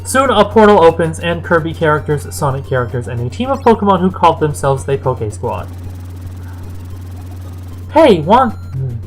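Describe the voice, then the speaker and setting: A woman's voice: low voice, animated, fairly quick, few pauses, soft background music. A woman reading a fan fiction story aloud as narrator.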